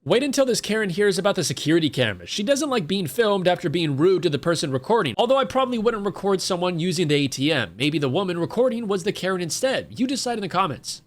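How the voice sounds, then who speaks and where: A man's voice: high voice, sing-song, quick pace, few pauses. A man narrating a compilation video with sarcastic, mocking commentary. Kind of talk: scripted commentary voice-over.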